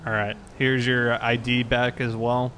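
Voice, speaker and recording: A man plays a police officer in an improvised game roleplay. His delivery is flat and even, calm but firm, giving polite procedural instructions. There is faint noise behind him. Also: mid-range voice, a little slow.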